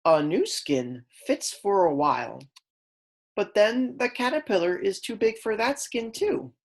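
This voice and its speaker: A woman reading aloud as a kindergarten teacher, in a deliberate, instructional way that stresses key words. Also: mid-range voice, lively intonation. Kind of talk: read-aloud lesson for young children.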